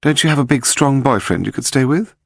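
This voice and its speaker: A man's voice: medium pitch, animated, a little fast, vocal fry, husky, dry recording, studio quality, voice pushed up. A man voicing an icy, sarcastic, jealous wife with brittle, clipped formality in an audiobook mystery. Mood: icy, ironic.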